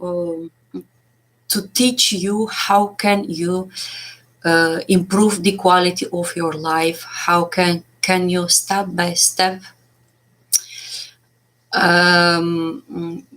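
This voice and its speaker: A woman's voice: fairly low pitch, flat delivery, drawn-out words, pauses often, a bit bright. A woman in her forties with a Moldovan accent, a business coach talking unscripted to her channel, with many fillers and restarts. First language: Romanian and Russian. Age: 40s. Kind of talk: speaking to camera, unscripted.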